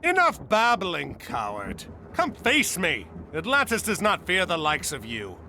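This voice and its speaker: An actor playing a resolute, wary general in a video game cutscene, speaking in a firm, commanding way. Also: male voice, high-pitched, wildly swooping pitch, measured pace, bright, forceful tone, a little hiss or hum, heavily acted. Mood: defiant.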